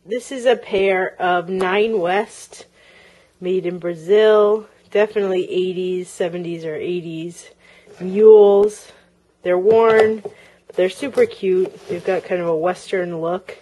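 A woman's voice: fairly low pitch, steady tone, slow, dark tone. A woman chatting casually as she shows off items she has sold online, with plenty of ums and uhs, recorded at home over close-up tabletop shots. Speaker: online reseller. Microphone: handheld camera close-up, speaker off-camera.

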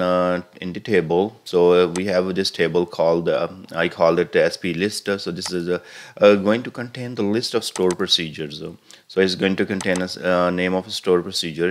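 A man walking through a screen-recorded software tutorial, explaining step by step with many "uh" fillers and restarts. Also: low voice. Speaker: tutorial instructor. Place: voice-over on a computer screen recording.

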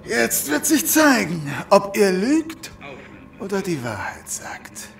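A threatening henchman in an audio drama. His voice is fairly high for a man and full of fry, with lively intonation. He is gruff and uneasy. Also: takes it slowly, exaggerated voice. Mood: grim. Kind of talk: German audio drama acting.

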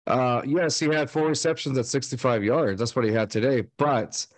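A man's voice: medium pitch, normal speed, dry recording. A man in his thirties co-hosting a fan podcast over a video call, rambling with lots of fillers and restarts. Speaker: podcast co-host. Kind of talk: casual sports chat. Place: home room, video call. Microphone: studio mic on stand with headset.

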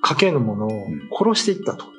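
A middle-aged man tells a tale in casual chat, his voice a bit high and moving widely in pitch. He often relays what others said, and faint music plays behind him. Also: very animated, a little fast, a bit bright. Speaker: writer and show regular telling ghost stories. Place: small meeting room, indoors.

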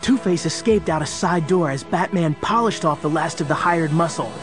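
An actor playing the dramatic storyteller of a superhero adventure, reading scripted narration. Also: male voice, on the high side, moderate pace, faint music behind, natural voice.

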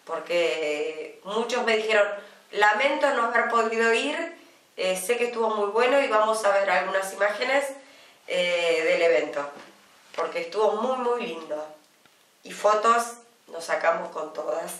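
A woman in her fifties with an Argentine accent, co-hosting a casual studio chat show and talking chattily with many drawn-out pauses. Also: mid-range voice, fairly bright tone, far from the mic. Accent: Argentine Spanish. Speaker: co-host. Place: small TV studio, indoors. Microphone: desk microphone, some distance away. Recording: roomy studio sound from off-mic.

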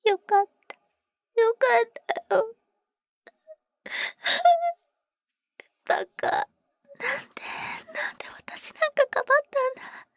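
A woman playing a devoted, tsundere female vampire in a whispered Japanese ASMR roleplay, speaking haltingly and bluntly, very close to the microphone. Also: very high-pitched, fairly bright tone, dry recording, full character voice. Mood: relieved, scolding. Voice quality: breathy, whispered. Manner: halting, murmured, blunt boyish phrasing. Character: protective, tsundere older-sister female vampire, tenderly devoted. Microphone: very close, dry ASMR microphone.

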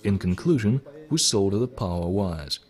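Man, middle-aged, low pitch, lively intonation, deliberate formal delivery, scripted tutorial narration. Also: husky. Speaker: presenter of electronics kit videos.

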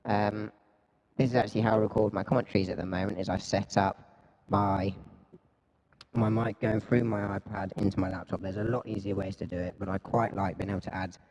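Robotic, deepened young man with long reverb. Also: steady tone, brisk, a little mellow. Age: young adult. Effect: robotic pitched-down voice effect with reverb. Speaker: video tutorial host. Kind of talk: casual tech demo. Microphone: handheld vocal mic through effects app.

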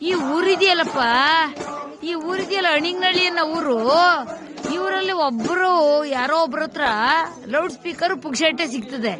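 A woman's voice: very high-pitched, moderate pace, long pauses. A woman with a rural southern Karnataka accent talking casually on the spot, in a scolding way full of repeated questions. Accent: Kannada, rural southern Karnataka. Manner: scolding, repetitive rhetorical questions. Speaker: vlogger. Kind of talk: casual spoken commentary and argument to people on the spot. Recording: live location with crowd noise behind. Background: crowd.

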